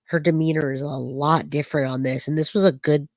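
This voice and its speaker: A woman's voice: deep voice, fairly even intonation, a little fast, a little mellow, no room sound. Skeptical woman streamer, dry close mic. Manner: probing, skeptical, many rhetorical questions. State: voice slightly off from dust and allergies. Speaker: true-crime streamer. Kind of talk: live-stream commentary to chat.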